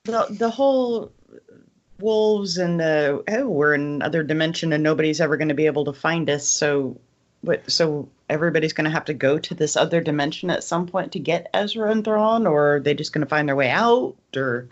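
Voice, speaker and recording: A woman's voice: low voice, brisk, muffled recording. A woman co-hosting a podcast, talking casually and plainly as a skeptical fan. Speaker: podcast co-host.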